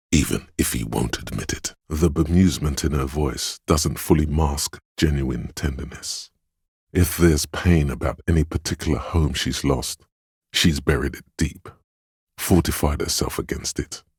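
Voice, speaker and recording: An actor voicing a perceptive, compassionate, brooding inner voice in a video game, with measured, hushed, deliberate narration and a gravelly, husky tone. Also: male voice, very deep voice, dry recording, studio quality, full character voice. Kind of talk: scripted second-person game narration.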